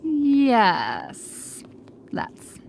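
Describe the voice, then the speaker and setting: A woman's voice: on the high side, wildly swooping pitch, drawn-out words, vocal fry, a little hiss or hum, heavily acted. An actress playing a practical, matter-of-fact female watch corporal in a fantasy audio drama. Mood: concerned, businesslike. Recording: loud music over the voice. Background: loud music.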